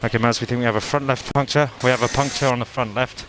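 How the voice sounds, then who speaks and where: A man's voice: mid-range voice, steady tone, fast. A male race engineer passing short, repeated messages to his driver over team radio. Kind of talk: team radio instructions during a motor race. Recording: noisy motor racing team radio.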